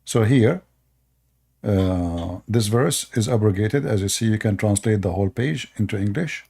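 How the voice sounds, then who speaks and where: A man whose first language is Arabic hosts a live call-in debate, repeating himself and speaking haltingly while he addresses his viewers. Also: lowish voice, expressive, vocal fry, dark tone, slightly rough. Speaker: show host.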